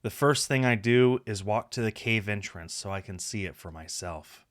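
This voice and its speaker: Low-voiced man reading a visual novel. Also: normal speed. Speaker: game streamer. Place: indoor room, music behind the voice.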